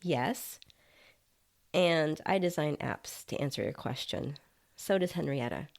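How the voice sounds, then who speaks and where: Casual tech inventor in a body-swap audio story, female reader, low and gravelly, explaining matter-of-factly. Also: measured pace. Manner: nonchalant, chatty, explanatory. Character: nonchalant male app developer inhabiting another's body.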